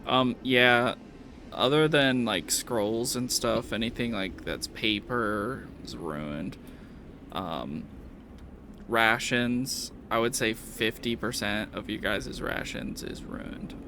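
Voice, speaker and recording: A man narrates a tabletop game as game master in a slow, casual way. His phrasing is halting and his voice has a creaky, gravelly edge. There is faint noise behind him. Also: mid-range voice, sharp tone.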